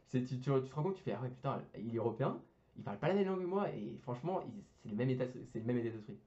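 A young man talking quickly in loose, casual speech, tripping over fillers and restarting his sentences. His slangy chat comes through on a dull, lo-fi recording. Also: medium pitch, animated, warm tone. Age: young adult. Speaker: engineering student guest.

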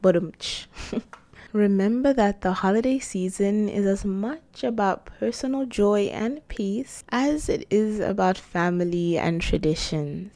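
Chatty woman podcast host, mellow voice. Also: mid-range voice. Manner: chatty and animated, talks to listeners directly. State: losing her voice. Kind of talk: solo podcast monologue.

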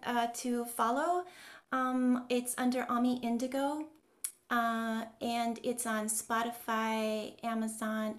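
A woman singer-songwriter chatting casually as a guest, hesitant and modest. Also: on the high side. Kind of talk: casual chat.

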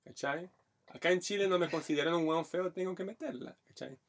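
A man's voice: high voice, a little fast. A man in his thirties from Brazil with a Brazilian accent, co-hosting a podcast in casual chat and telling frank stories full of Chilean slang. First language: Portuguese. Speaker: podcast co-host.

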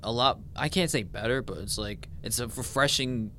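Bright, lowish male voice, noisy track. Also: normal speed. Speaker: podcast co-host. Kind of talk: casual chat.

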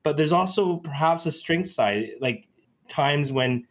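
A man hosts an interview and puts his follow-up questions carefully. He pauses and restarts his words as he feels his way through each question. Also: a little high, animated.

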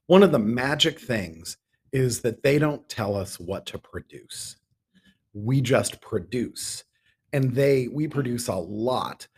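A middle-aged man answering interview questions as a guest, measured and given to emphatic repetition. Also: medium pitch, darkish tone, slightly rough. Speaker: interview guest, theater artistic director.

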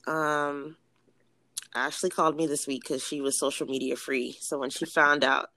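A woman co-hosting a podcast in casual chat, blunt and profane, her voice a little raspy from cigars. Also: low voice, level tone, normal speed, sharp tone, dry recording. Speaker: podcast co-host.

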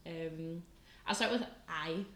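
A woman's voice: low voice, takes it slowly, fairly bright tone, echoey room. A young woman with a light Scottish accent, chatting as a student guest on a podcast, laughing often and using lots of fillers. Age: young adult. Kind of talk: casual podcast chat.

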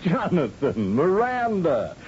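A genial, folksy colonial governor in a science-fiction radio play. The voice is highly animated, on a muffled, faintly noisy old recording. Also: male voice, slow pace, heavily acted. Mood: jovial. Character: folksy, genial male Texan space governor. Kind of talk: old-time radio drama acting.

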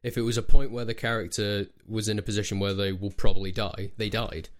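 A man's voice: lowish voice, level tone, fairly quick. A young man from Leeds with a British accent, a podcast co-host trading casual banter in a blunt, mocking way. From Leeds, England.

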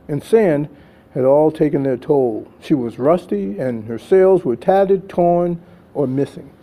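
A man's voice: fairly high pitch, mellow. An older man, an author, reading his own story aloud as a dramatic first-person narration.

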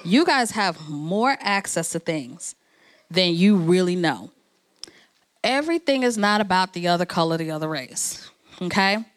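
A woman's voice: on the low side, animated, measured pace. A woman from New Jersey, the president of an organization, giving a conversational, encouraging speech at a networking event. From New Jersey, USA.

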